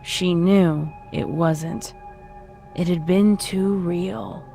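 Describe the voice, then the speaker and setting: A woman with a fairly low voice narrates a horror story. She reads from a script as the narrator of a fan fiction, with music behind her. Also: fairly even intonation, drawn-out words, gravelly, dark tone.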